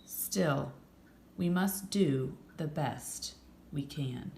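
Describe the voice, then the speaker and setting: Woman voicing an earnest, wondering fox, slow-paced. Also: low-pitched, vocal fry, a little mellow, full character voice. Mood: resolute. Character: curious, thoughtful young male fox. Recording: roomy echo, faint background noise.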